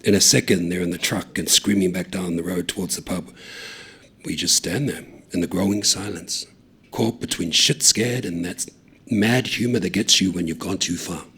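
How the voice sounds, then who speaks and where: Husky low-voiced man reading a story. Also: normal speed. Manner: steady, low-key narration.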